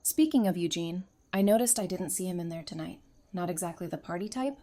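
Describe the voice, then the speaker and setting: A woman's voice: fairly low pitch, expressive, brisk, mellow, lightly acted. A woman playing a friendly, easygoing survivor in an audio drama, chatty, informal and reassuring. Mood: upbeat casual. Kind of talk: fan audio drama acting, casual dialogue.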